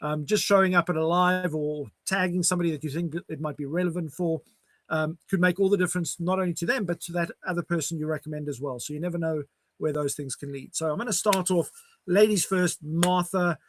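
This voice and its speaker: A man's voice: fairly high pitch, fairly even intonation, a little fast, no echo. A man hosting a live video call chat, encouraging and thankful as he wraps up with his co-hosts. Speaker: host. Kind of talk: casual chat wrap-up on a live stream.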